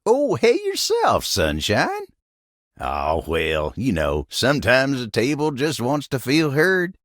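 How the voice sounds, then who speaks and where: A man voicing a kindly, earnest living table in a video game, with a folksy American cowboy drawl and a gravelly, friendly delivery. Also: wildly swooping pitch, takes it slowly, fairly bright tone, no echo, full character voice. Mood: cheerful, defensive. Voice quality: low, gravelly. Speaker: voice actor. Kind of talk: video game character dialogue.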